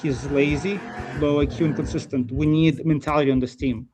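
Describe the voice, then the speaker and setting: A man's voice: fairly high pitch, fairly even intonation, fairly quick, darkish tone, a little hiss or hum. A man on a casual football panel, bluntly dismissing players.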